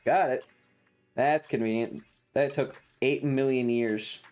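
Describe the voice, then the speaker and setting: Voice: medium pitch, slow, darkish tone. A streamer chatting casually while playing a game, with a sarcastic, profane manner. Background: music.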